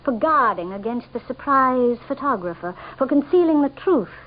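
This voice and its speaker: A woman's voice: high voice, animated, moderate pace. An actress playing a sly, insistent lady's maid with sinister composure in an old-time radio mystery, coaxing and knowing. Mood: calm, persuasive. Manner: coaxing, knowing, deferential on the surface. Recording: dull old radio drama recording.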